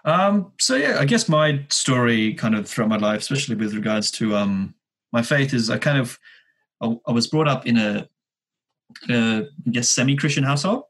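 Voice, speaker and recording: A man in his twenties with a husky voice, chatting casually as a guest, hesitant and dryly joking. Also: medium pitch, lively intonation, normal speed, a bit bright, poor audio. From Sydney, Australia. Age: 20s. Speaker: podcast guest, engineering student. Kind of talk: casual chat, telling his own story.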